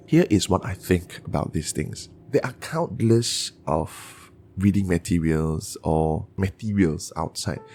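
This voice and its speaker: Guy telling spooky bedtime stories, really swoopy pitch, bit of fry, dramatic pauses, soft music behind. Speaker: host and narrator of horror stories. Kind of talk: bedtime horror storytelling.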